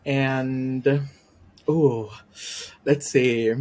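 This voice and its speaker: A man's voice: mid-range voice, steady tone, drawn-out words, a little mellow. A man from Puerto Rico whose first language is Spanish, chatting as a podcast guest in a shy, hesitant way with many ums and small laughs. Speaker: guest, YouTube reactor. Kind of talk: casual chat interview.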